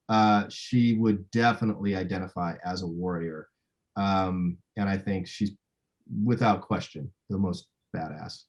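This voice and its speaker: Guy with a lowish voice, film director chatting in an interview, kinda halting. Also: a little mellow, muffled recording. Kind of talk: casual promotional interview.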